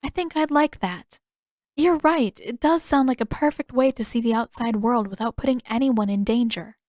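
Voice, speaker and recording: A woman voicing a gentle, wistful young princess in a storybook reading, speaking politely in measured, formal phrases. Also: fairly high pitch, no echo, studio quality, voice pushed up, lightly acted. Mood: warmly pleased.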